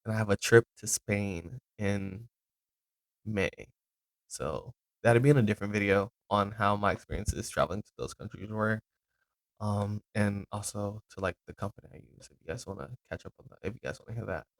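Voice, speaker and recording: A man's voice: lowish voice, moderate pace, no room sound, rough recording. A man in his twenties hosting his own podcast, talking loosely about his week with many ums and self-corrections. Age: 20s. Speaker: podcast host. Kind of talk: casual rambling monologue.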